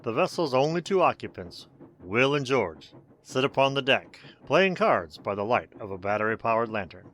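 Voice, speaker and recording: An actor playing a mysterious, sinister but courteous host, narrating radio horror in a measured, theatrical way. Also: male voice, mid-range voice, very animated, fairly bright tone. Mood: ominous, inviting.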